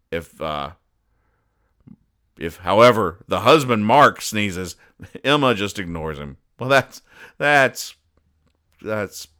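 This is a man with a fairly low, forceful and clean-sounding voice. He delivers comedic commentary as a podcast host. His manner is deadpan and mock-serious, with halting asides as he explains. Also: clear, resonant tone. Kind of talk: deadpan comedic commentary, recapping comic strips.

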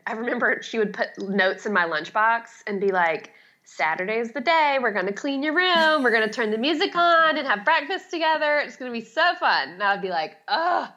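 A woman's voice: fairly high pitch, pushed and bright, highs cut off. A middle-aged woman talking casually as an expert guest in an interview, with a chatty, emphatic, self-deprecating way of explaining. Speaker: guest, home organizing expert.

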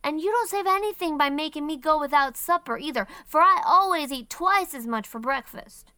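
Woman voicing a small boy, very high, bright, stubborn, insistent questions, storybook. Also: lively intonation, normal speed, dry recording. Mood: scornful. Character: curious, stubborn six-year-old boy. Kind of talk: audiobook character voice.

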